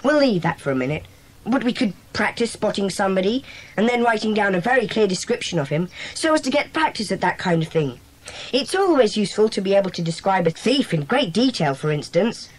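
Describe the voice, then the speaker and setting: A woman's voice: mid-range voice, very animated, poor audio. A woman playing a bossy, eager schoolboy who leads a children's club in a dramatised audiobook. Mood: organising, earnest. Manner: brisk, commanding, organising.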